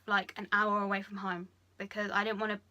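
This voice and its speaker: A young woman talking frankly to camera in a personal vlog, chatty and full of fillers, speaking a little lower than she usually does. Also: little pitch movement, fairly quick, bright, forceful tone, lo-fi sound. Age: young adult. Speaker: vlogger. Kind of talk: candid personal confession.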